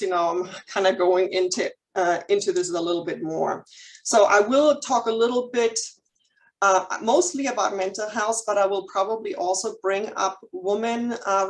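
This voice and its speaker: A woman in her forties with a German accent speaks to a room of listeners, candid and a little nervous. She fills pauses with ums and restarts her sentences. Her pitch sits in a middle range for a woman. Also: low-quality recording. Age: 40s. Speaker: licensed counselor. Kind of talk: chamber of commerce presentation.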